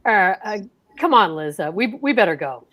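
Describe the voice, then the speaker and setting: Bumbling good-natured husband played by a woman, stammers, lively pitch. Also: moderate pace. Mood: easygoing. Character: easygoing bumbling husband George. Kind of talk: amateur old-time radio acting.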